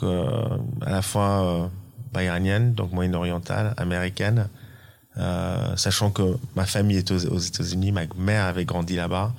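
A man's voice: deep voice, gravelly. A man in his fifties, from Iran, answering interview questions hesitantly, searching for words and repeating them. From Iran, then the US, UK and France. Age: 50s. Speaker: podcast guest, watch auction executive.

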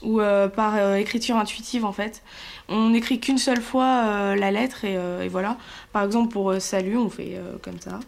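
A woman's voice: mid-range voice, fairly even intonation, normal speed, gravelly. A young woman interviewed for a TV report, explaining something step by step with some hesitation. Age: young adult.

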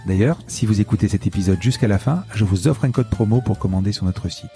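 Male podcast host, lowish pitch, soft dark tone, interview presentation, music behind. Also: fast. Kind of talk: scripted podcast intro, then conversational interview.

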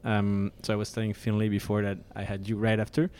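A man's voice: lowish voice, completely flat delivery, fast talker, darkish tone, clean tone. French-accented man hosting an interview. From France. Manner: friendly, rambling questions with restarts. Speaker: podcast host. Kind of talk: casual interview.

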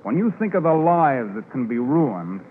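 An actor playing a matter-of-fact police officer recounting cases in conversational 1950s radio drama style. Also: male voice, mid-range voice, big pitch swings, moderate pace, phone-quality sound, close to an everyday voice. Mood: reflective, firm. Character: male police officer calmly recounting an old case. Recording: noisy band-limited 1950s radio recording.

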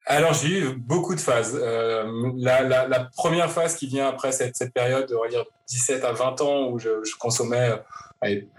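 A man in his forties, from Paris, answers interview questions about his life. He speaks in a halting way, with frequent pauses and restarts. His voice sits at an ordinary middle pitch. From Paris, France. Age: 40s. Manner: hesitant, many fillers and restarts. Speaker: guest. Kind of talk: interview, personal story.

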